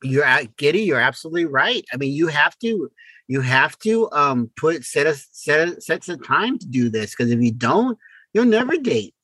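Male podcast co-host, middle-aged, lively swings in pitch, fairly high voice, stumbles and restarts in casual chat. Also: husky, no echo, poor audio. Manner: halting, repeats words.

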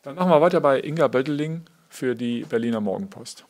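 A man's voice: mid-range voice, lively intonation, brisk, a little mellow. A man acting as a football club's press officer, moderating a press conference formally, with frequent fillers as he announces speakers.

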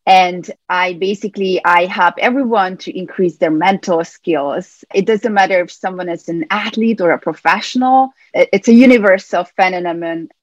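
A lower-voiced woman talks earnestly about her coaching work and explains things step by step. The sound is dry and a little dull, as if over a remote line. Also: normal speed, hardly any pauses, no room sound. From Hungary. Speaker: mental performance coach, guest. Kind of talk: interview answers.

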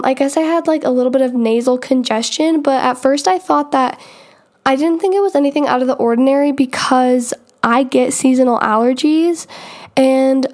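A woman's voice: high voice, darkish tone. A young woman hosting her own podcast, talking casually with lots of fillers and restarts while getting over an illness. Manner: chatty, rambling, many "um" and "like" fillers. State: recovering from illness, congested. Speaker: podcast host. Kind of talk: casual solo podcast chat.

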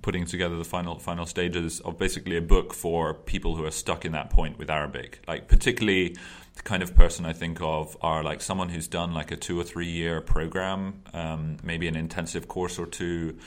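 A man's voice: low-pitched, level tone, a little fast. A man hosting a casual conversation, talking loosely with lots of ums and hesitations. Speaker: podcast host.

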